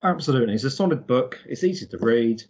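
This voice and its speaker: A man co-hosting a podcast, discussing books casually and haltingly, repeating words as he thinks. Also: mid-range voice, lively intonation, a little mellow, muffled recording, a little hiss or hum. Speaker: podcast co-host.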